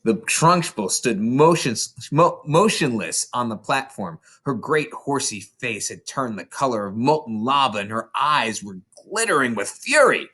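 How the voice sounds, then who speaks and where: An expressive reader narrates a children's storybook aloud. The medium-pitched voice moves in very lively swoops, with an occasional stumble over a word. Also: a little slow, few pauses. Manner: expressive, stumbles over words now and then. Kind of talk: animated read-aloud narration of a children's novel.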